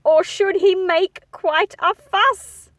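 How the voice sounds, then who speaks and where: A woman reading a picture book aloud to children, expressive and engaging the listener as she goes. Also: very high voice, takes it slowly, no echo. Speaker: children's story reader.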